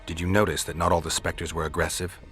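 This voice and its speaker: Gruff sardonic warrior for hire, very low gravelly voice, deadpan video game delivery, faint music behind. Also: male voice, quick pace. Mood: businesslike. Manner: terse, dry, deadpan. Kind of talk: video game dialogue acting.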